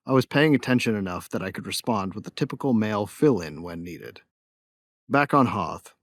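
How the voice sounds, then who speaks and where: A man's voice: on the low side, no echo, clean studio sound. A man playing a young bounty hunter in a sci-fi audio drama, telling his story in a wry, laid-back, cocky way. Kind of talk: first-person audio drama narration.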